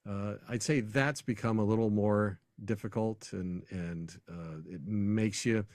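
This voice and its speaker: A man's voice: fairly low pitch, steady tone, measured pace, darkish tone, no echo, clean studio sound. An American man speaking as an announcer guest in a casual sports interview, telling anecdotes in a conversational way.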